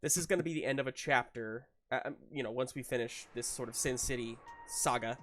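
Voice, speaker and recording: A male game master with a mid-pitched voice improvises narration for a tabletop game. His phrasing is casual and halting, over faint music. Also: a bit bright.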